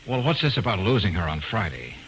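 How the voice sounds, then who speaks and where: Deep, lively radio private eye. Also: male voice, a little fast, slight background noise, rough recording. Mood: polite. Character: breezy, wisecracking private detective. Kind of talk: 1940s radio acting. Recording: old radio drama recording.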